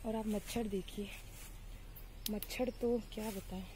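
A woman's voice: mid-range voice, fairly even intonation, measured pace, warm tone, slight background noise. A young woman vlogging casually from her sunny outdoor vegetable garden, chatting to viewers as friends with plenty of fillers while filming on a handheld phone. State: hot and bothered by sun and mosquitoes. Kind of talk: casual garden tour vlog. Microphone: handheld phone camera.

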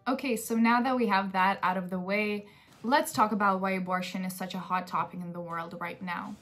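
A woman's voice: mid-range voice, fairly even intonation, a little fast, music in the background. A young woman from Lithuania, presenting a talk show in a conversational way. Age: young adult. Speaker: co-host. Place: bedroom at home, indoors.

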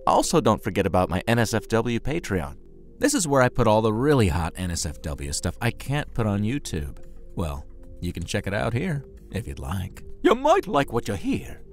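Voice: medium pitch, wildly swooping pitch, moderate pace, soft background music. A series host giving an upbeat promotional talk to viewers.

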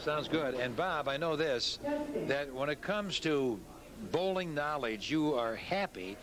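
A man's voice: fairly high pitch, lively intonation, measured pace, a bit bright. A middle-aged American man presenting, interviewing and commentating as host of a local bowling TV show. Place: large indoor bowling alley. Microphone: handheld microphone. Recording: noisy videotape, bowling alley ambience.

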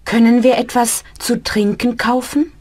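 A woman's voice: on the high side, flat delivery, unhurried, a little mellow. A woman voicing a polite young language student in a scripted learner dialogue.

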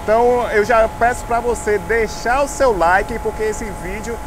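Bahian guy walking and talking into his phone, kinda high voice, loud traffic all around. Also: normal speed. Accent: Bahian Brazilian Portuguese. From Salvador, Bahia, Brazil. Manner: chatty, fillers and restarts, guiding. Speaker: travel vlogger. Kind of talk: walking city tour vlog. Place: outdoors on a city street with traffic. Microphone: phone held at arm's length, selfie. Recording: loud street traffic noise behind.

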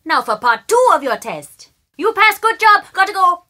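A woman's voice: extremely high pitch, very animated, moderate pace, pushed and bright, dry recording, studio quality, full character voice. A woman voicing a mischievous, playful young boy in a video game, with a cheeky, childlike way of talking. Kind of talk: video game character acting.

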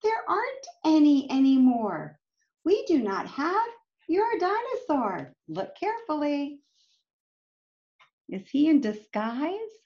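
High, animated woman reading to children. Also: slow pace, long pauses, dull audio. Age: middle-aged. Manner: addresses young listeners with questions and asides. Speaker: storytime reader. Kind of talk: expressive picture-book read-aloud for children. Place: indoors, home room with bookshelves.

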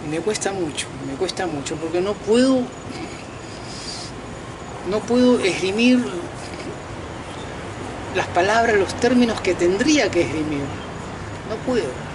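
A man in his fifties from Peru, talking straight to the camera outdoors at night in a casual, slangy, animated monologue. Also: high-pitched, a little slow, long pauses, background noise. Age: 50s. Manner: animated, talks to viewers directly with slangy asides. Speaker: vlogger and self-declared political candidate. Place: outdoors at night on a balcony over a lit city.

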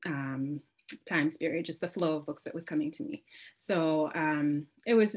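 A woman writer answering a panel question on a video call, with laughing asides. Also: sits low. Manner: warm-humored, laughing asides. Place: home room with bookshelves. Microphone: laptop webcam mic.

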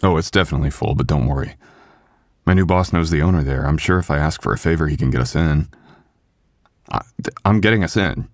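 A man playing a sweet, apologetic, devoted young husband in an intimate boyfriend ASMR roleplay, with a halting, tender, self-deprecating delivery. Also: very deep voice, dry recording, clean studio sound. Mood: tender, reassuring.

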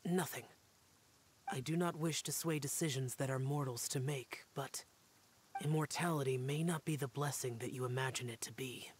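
A man's voice: medium pitch, normal speed, background noise, lightly acted. A man voicing an aloof young guardian spirit warrior in a game, grave and terse, half-whispered.

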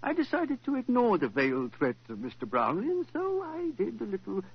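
A formal, solemn gentleman occultist in vintage radio drama style. The voice is fairly high and husky, with sweeping theatrical intonation. He stays courteous throughout. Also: wildly swooping pitch, fairly quick, full character voice. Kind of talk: 1940s radio drama acting. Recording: muffled old 1940s radio recording.

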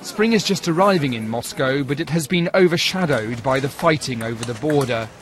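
A man reporting from abroad as a news correspondent, in a measured, formal delivery. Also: mid-range voice, animated, some chatter behind.

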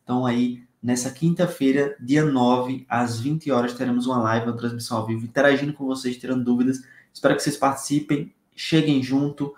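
A young man from Brazil talks through his betting picks in a friendly, upbeat way, speaking directly to viewers. His voice sits at an ordinary middle pitch. The small room around him adds a noticeable echo. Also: steady tone, a little fast, few pauses, a little mellow. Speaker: sports betting tipster. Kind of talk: casual betting-tips video. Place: small home room, indoors. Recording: echoey room sound.

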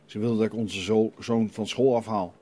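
Man reading as a meek, husky father. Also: lowish voice, fairly even intonation, moderate pace, dark tone, natural voice. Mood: defensive, anxious. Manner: hesitant, uncertain. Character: meek, unsure, troubled father at confession.

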